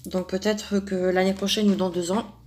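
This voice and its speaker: A woman's voice: medium pitch, level tone, moderate pace, a little mellow. A young woman from France chatting casually to her subscribers, rambling with lots of fillers and restarts as she answers questions. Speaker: craft YouTuber answering a subscriber tag. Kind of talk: casual chat to camera.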